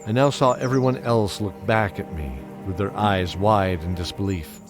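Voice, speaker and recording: Old holy knight narrator, male actor, low and solemn, first-person fantasy storytelling over prominent music. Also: moderate pace, close to an everyday voice. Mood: solemn, reflective. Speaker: narrator and actor of an audio drama. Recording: background music throughout.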